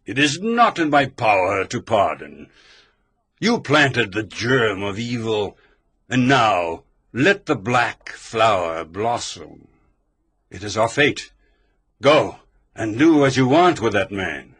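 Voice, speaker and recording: A man's voice: fairly low pitch, sing-song, slow, a bit bright, husky, dry recording, exaggerated voice. A man playing a bitter, vengeful old physician. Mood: cold. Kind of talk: audio drama acting.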